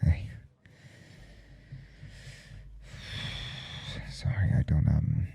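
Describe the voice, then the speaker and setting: A smitten male professor in an intimate ASMR roleplay. His voice is low and very dark, with a gravelly creak. He talks haltingly and coyly, stammering with nerves. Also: steady tone, full character voice. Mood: anxious, hesitant. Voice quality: husky, dark low murmur. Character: nervous, smitten male professor confessing forbidden attraction. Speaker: audio roleplay performer.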